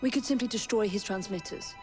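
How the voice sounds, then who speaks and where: A woman playing a brisk, composed young Victorian assassin in a video game, with a British accent. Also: mid-range voice, a little mellow, soft background music, natural voice. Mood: decisive. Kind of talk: video game cutscene acting.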